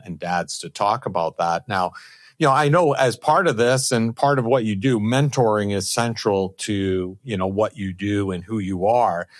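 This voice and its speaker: A man's voice: lowish voice, no room sound, studio quality. A man hosting a conversational interview, wordy and circling back to rephrase his questions. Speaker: podcast host.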